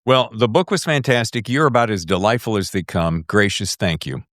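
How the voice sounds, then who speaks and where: A man's voice: fairly low pitch, normal speed, a bit bright, no room sound. A middle-aged man hosting a podcast in casual, enthusiastic and effusive interview chat, speaking close to the microphone. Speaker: podcast host.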